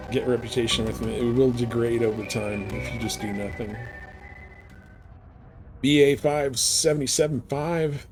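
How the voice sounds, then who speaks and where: A man's voice: on the low side, poor audio. Man casually talking through a game livestream. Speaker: live game streamer. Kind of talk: casual livestream gameplay commentary.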